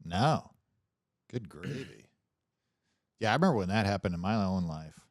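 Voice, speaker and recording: A man's voice: sits low, lively intonation, moderate pace, no echo. Man bantering casually, dry close sound. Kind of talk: casual comedic chat.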